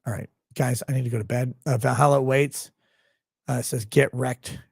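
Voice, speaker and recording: A tired man with a gravelly voice, hosting a livestream and signing off in a rambling, blunt, profane way. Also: medium pitch, steady tone, normal speed, darkish tone, dry recording, clean studio sound. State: tired, low on sleep. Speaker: livestream host. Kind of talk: casual livestream sign-off chat.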